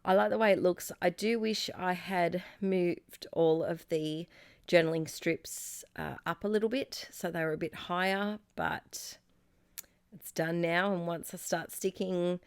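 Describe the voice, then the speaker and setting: An Australian woman in her thirties, slightly croaky, chatting casually and rambling with fillers and giggles as she narrates her scrapbooking. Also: on the low side, steady tone, unhurried, no room sound, clean studio sound. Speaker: scrapbooking YouTuber. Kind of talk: casual crafting voice-over. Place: home craft space.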